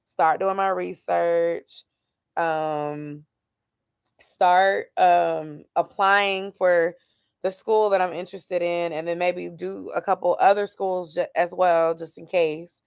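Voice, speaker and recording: A woman's voice: fairly low pitch, fairly even intonation, takes it slowly, gravelly, clean tone, no echo, studio quality. Candid woman in her late twenties. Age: 20s. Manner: candid, thinking aloud about plans, reads chat comments. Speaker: YouTuber doing a weekly check-in. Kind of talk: casual chat with a live chat.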